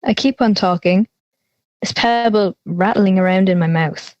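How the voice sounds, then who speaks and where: A woman's voice: mid-range voice, a little slow, darkish tone, dry recording, lo-fi sound, close to an everyday voice. A young woman acting an anxious schoolgirl in an audio drama, confiding in a nervy storytelling way. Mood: uneasy. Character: anxious schoolgirl confiding her dreams and fears. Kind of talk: audio drama monologue and dialogue.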